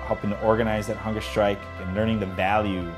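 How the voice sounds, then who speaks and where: Male candidate, American, slightly deep, personal testimony, prominent music bed. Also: level tone, fast talker. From Chicago, United States. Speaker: city council candidate, organizer. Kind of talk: personal testimony in a campaign video. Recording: clear music behind voice.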